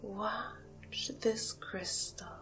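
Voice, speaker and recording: Very slow whispering hypnotist woman. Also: mid-range voice, steady tone, over music. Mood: hypnotic, soothing. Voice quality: breathy, mostly whispered. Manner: slow, hypnotic, drawn-out phrasing with long pauses. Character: haughty, mesmerizing female alien cow hypnotist. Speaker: ASMR roleplay performer. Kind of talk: whispered ASMR hypnosis roleplay.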